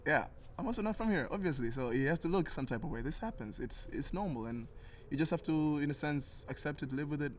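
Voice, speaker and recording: A man's voice: a little high, lively intonation, fast, slight background noise. A young man from Tanzania talking casually and earnestly as an interview guest, thinking things through. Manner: reflective, earnest.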